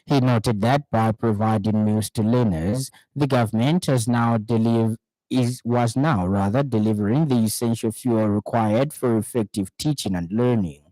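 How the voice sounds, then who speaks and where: A man with a Zambian accent reading a scripted radio news bulletin in a formal, measured manner. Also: on the low side, mellow, dry recording, poor audio. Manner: formal, measured newsreader delivery.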